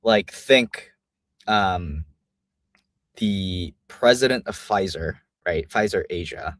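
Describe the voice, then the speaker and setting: A young man who grew up in Vietnam and speaks with an American accent, chatting easily as an interview guest and filling pauses with "um" and "like". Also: sits low, no room sound. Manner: relaxed, many fillers like "um" and "like". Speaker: guest, company founder. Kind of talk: casual interview chat.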